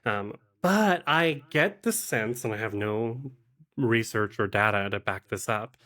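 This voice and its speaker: A man talking conversationally as a podcast guest and game master, explaining things in a halting, thoughtful way. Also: lowish voice, expressive, pushed and bright. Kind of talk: conversational interview talk.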